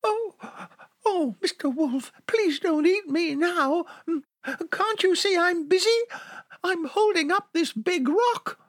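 A storyteller voicing a clever little female lamb who pleads in a sweet, high voice in a children's story. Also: lively intonation, slow pace, dry recording, clean studio sound, heavily acted. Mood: pleading sweetly.

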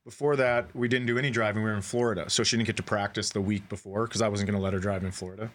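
A man's voice: on the low side, very fast. A middle-aged man co-hosting a comedy podcast, telling stories casually and acting out the dialogue as he goes. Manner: animated, acts out dialogue in his stories. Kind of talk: comic storytelling, casual banter.

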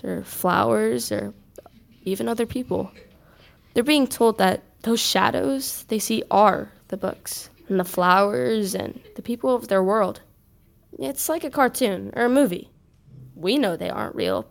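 Student presenter: creaky female voice, mid pitch, earnest, deliberate, pausing.

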